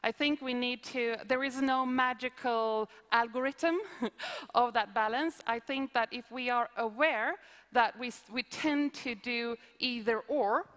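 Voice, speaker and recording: A woman's voice: fairly high pitch, fairly even intonation, unhurried, fairly bright tone. A woman with a Swedish accent, a conference speaker answering audience questions on stage, explaining with hesitant restarts and examples. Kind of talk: live Q&A on stage. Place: conference hall. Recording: reverberant conference hall.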